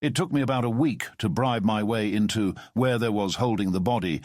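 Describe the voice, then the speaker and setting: A man voicing a calm, commanding middle-aged former spy who speaks in a measured, formal way. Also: lowish voice, no room sound, clean studio sound, close to an everyday voice. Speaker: storyteller. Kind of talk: audio drama storytelling.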